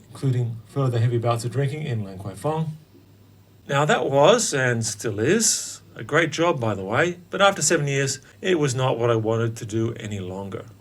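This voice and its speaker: Wry, theatrical man in his late forties. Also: medium pitch. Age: late 40s. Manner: wry, theatrical, with dramatic pauses. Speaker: podcast host telling his own story. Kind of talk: scripted personal narration.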